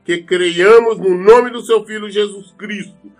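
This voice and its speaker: A Brazilian man delivers a sermon in a distinctly high-pitched voice. He speaks earnestly and admonishes his listeners, repeating phrases for emphasis. Soft music plays faintly behind him. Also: steady tone, measured pace, rough recording. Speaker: preacher. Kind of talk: sermon with scripture reading.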